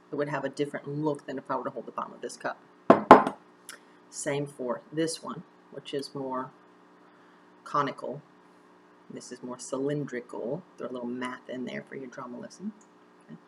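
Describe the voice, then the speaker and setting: Woman, drama teacher with a low-pitched voice, instructing step by step in a conversational way; slight background noise. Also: low-quality recording. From United States. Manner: conversational, encouraging step-by-step instruction with direct questions. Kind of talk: instructional video mini-lesson.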